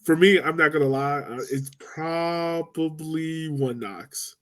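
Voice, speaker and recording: A man's voice: fairly high pitch, slow pace, a bit bright. A man hosting a casual sports podcast in a joking, informal, slangy way. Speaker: podcast host.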